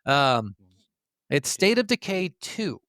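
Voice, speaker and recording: A man's voice: a little high, very animated, takes it slowly, a bit bright, clear, resonant tone, no echo, clean studio sound. A man co-hosting a gaming podcast in casual, enthusiastic, sweary conversation. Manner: informal, profane, enthusiastic. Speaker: podcast co-host.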